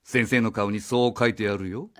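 A man playing a blunt, accusing outsider in a radio drama, confrontational and rough in his speech. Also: lowish voice, fairly even intonation, normal speed, fairly bright tone, close to an everyday voice. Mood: sarcastic, accusing. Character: blunt, accusing male outsider challenging authority. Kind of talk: Japanese radio drama acting. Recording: faint music behind the voice.

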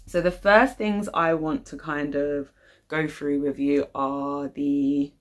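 A woman's voice: low voice, fairly even intonation, slow. A young adult woman with a British accent, chatting casually and informally as she hosts her vlog. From United Kingdom. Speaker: YouTube channel host. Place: living room, indoors.

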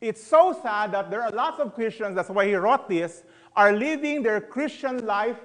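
A man's voice: sits high, lively intonation, moderate pace. A middle-aged man teaching a Bible study in a conversational, emphatic way, asking the audience questions. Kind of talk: sermon.

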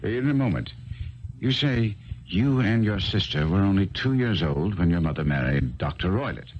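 A man acting in a 1970s radio drama, playing a shrewd, courteous gentleman detective, inquisitive, with murmured interjections. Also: low-pitched, expressive, normal speed. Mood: attentive, probing. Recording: dull noisy old radio recording.

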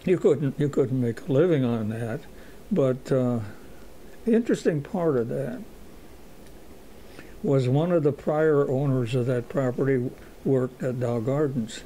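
A man of eighty-seven recalling his youth in a halting way, with many fillers and a dry humor. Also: medium pitch, mellow, a little hiss or hum, poor audio. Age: 80s. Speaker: interviewee. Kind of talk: oral history recollection.

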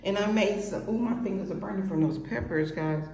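A woman's voice: medium pitch, a little fast, darkish tone. A woman chatting casually to her viewers from behind the camera in her home kitchen, rambling through what she cooked. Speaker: cooking vlogger. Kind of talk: casual cooking vlog chat. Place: home kitchen table, indoors. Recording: echoey room with faint hiss.

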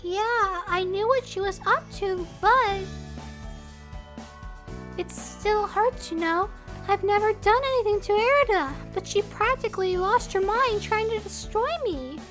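A woman voicing a gentle, trusting young female school leader in an anime-style game dub. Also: extremely high pitch, a little slow, faint music behind. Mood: hurt, regretful.

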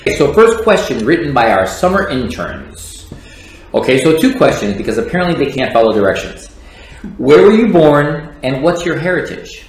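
A man's voice: fairly high pitch, very animated, normal speed. Guy interviewing his boss, jokey asides, room echoes a ton. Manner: wry, teasing asides. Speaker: litigation manager, interviewer. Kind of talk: scripted questions, joking chat. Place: office lounge with large windows. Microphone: tabletop condenser mic.

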